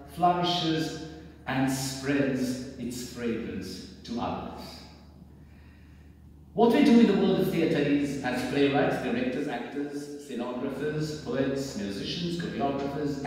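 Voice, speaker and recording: A man's voice: a little high, a little mellow. An older man reads a formal speech aloud in a declamatory, theatrical, emphatic way. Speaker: speaker reading a formal message on stage. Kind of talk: formal read speech, oratorical. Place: indoor theatre stage. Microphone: far camera in the audience. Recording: very echoey theatre hall, distant mic, faint noise.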